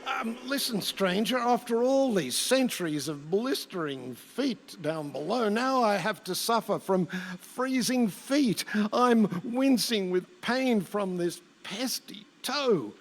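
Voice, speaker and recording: A man's voice: high voice, animated, takes it slowly, few pauses, exaggerated voice. A man acting a fussy, bumbling villain from hell in a rhyming radio play, stammering and theatrical. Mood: weary, pleading. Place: stage in a hall. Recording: hall with long reverb.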